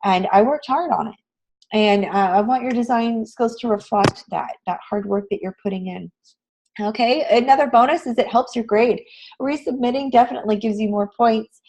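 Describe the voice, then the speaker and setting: A woman with a raspy voice, hoarse from a cold, teaching a live online class in an encouraging, conversational way. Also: fairly high pitch, moderate pace, a little mellow, slight background noise. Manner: encouraging, addresses students with frequent questions. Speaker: online graphic design instructor. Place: online class session, screen shared.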